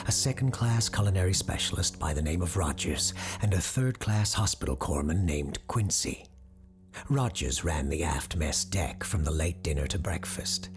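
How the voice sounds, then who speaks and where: Grave occult radio host, very husky, deep voice, measured theatrical storytelling, radio drama. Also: male voice, full character voice. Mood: brooding, suspenseful. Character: grave male doctor hosting an occult radio show.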